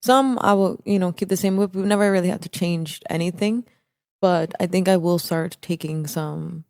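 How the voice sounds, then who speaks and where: Young woman guest, casual and animated, low voice, dry close sound. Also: moderate pace, dry recording. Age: young adult. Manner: animated, laughs often, restarts phrases. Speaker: guest, business co-owner. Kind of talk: casual interview answers.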